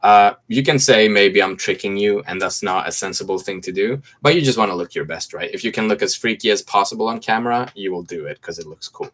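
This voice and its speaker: A man's voice: on the low side, a little fast, hardly any pauses, a bit bright. A Greek man in his twenties with a slight American accent, chatting casually on a livestream from his home and reading viewer comments aloud in a laid-back way. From Greece. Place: room at home with mint green walls.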